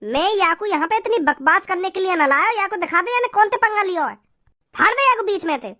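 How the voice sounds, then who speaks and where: Blustering, very high-pitched cartoon village boy. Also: lively intonation, brisk, sharp tone, dry recording, clean studio sound, heavily acted. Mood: angry threatening. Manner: blustering, dramatic. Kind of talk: comic Hindi cartoon dialogue.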